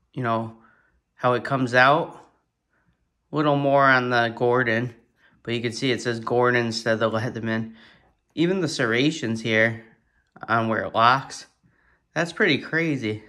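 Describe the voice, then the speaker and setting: Man doing a casual tool review, excited, swears now and then, mid-pitched voice, home kitchen. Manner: excited, swears in surprise, thinks aloud. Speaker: product reviewer. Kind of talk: casual hands-on product review. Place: kitchen table at home, indoors.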